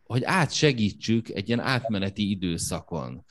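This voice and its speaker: A man's voice: on the low side, expressive. A man hosting a podcast, asking questions and thinking aloud in a reflective, conversational way. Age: young adult. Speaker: podcast host. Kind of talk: conversational interview.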